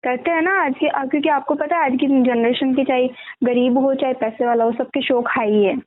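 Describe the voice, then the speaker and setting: Woman pitching sales on a phone call, kinda high voice, keeps checking you agree, tinny sound. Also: steady tone, a little fast. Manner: explanatory, often seeks agreement. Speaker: network marketing recruiter.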